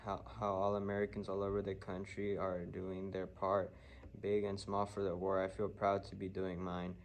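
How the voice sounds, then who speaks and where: Male AI-style audiobook reader, low and unvarying, stumbling oddly, faint noise behind. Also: monotone, normal speed, dull audio. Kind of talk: scripted narration of a children's novel.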